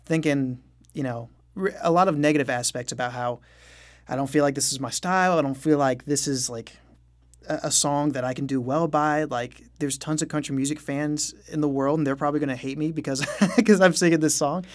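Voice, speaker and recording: A man's voice: on the high side, a little fast. A young man, a musician, talking candidly in an interview, self-deprecating and breaking into light chuckles. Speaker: musician guest. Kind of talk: casual interview talk.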